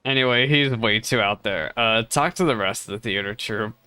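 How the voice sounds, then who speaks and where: A man's voice: on the low side, moderate pace, bright, forceful tone, clean tone, faint music behind. A man giving casual, playfully bemused live commentary as a streamer reacting to a game, in colloquial speech.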